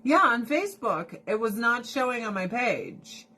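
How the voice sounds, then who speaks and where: A woman live streaming to her viewers in casual chat, blunt and profane, animated and laughing often, close to her phone camera. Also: on the high side, a little slow, slightly rough. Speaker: direct sales rep live streaming. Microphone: phone or camera held close.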